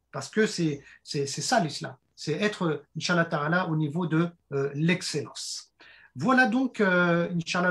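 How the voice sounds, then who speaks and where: A hoarse man giving an earnest fundraising presentation, halting with frequent fillers and restarts. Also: high-pitched. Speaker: project presenter.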